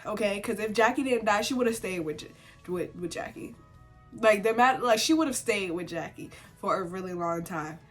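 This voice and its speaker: A young woman talking to camera from her home setup, congested from a cold. She chats casually and opinionatedly, says "like" a lot and breaks into animated outbursts. Her pitch sits in the middle range, and faint music plays behind her. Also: normal speed. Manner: chatty and opinionated, many "like" fillers, animated outbursts. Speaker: vlogger reviewing a TV series. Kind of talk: casual sit-down chat to camera.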